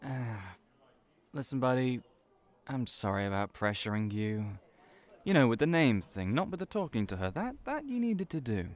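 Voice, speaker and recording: A man playing a brash, excitable young cafe worker in an audio roleplay, pushy and teasing but loyal, with emphatic, repetitive delivery. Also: mid-range voice, normal speed, close to an everyday voice. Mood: encouraging.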